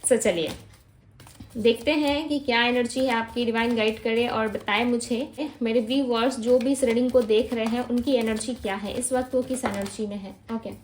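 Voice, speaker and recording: High-pitched woman giving a tarot reading. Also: steady tone, moderate pace, a little hiss or hum. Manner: Hindi mixed with English, frequent "ठीक है" fillers; gentle, reassuring, addresses viewers directly. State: throat feels heavy. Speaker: tarot reader.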